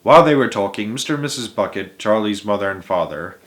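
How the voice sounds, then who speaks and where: A man narrating a children's book aloud in an expressive manner, occasionally stumbling over a word. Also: fairly low pitch, fast, a little hiss or hum. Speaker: narrator reading aloud, channel host. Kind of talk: children's storybook read-aloud.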